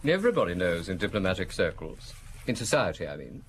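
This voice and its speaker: A well-bred, evasive gentleman in an audio drama. His delivery is hesitant but excitable, with very animated pitch that leaps about. He stays polite and eager to help. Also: fast talker, a little hiss or hum. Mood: confiding.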